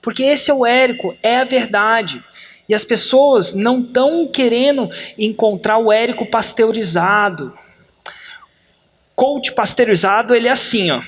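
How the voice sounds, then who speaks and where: A middle-aged man from Brazil hosting a podcast, teaching in an energetic, emphatic way, slowing down hard to stress points. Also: extremely high pitch. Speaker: podcast host. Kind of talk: animated business coaching talk.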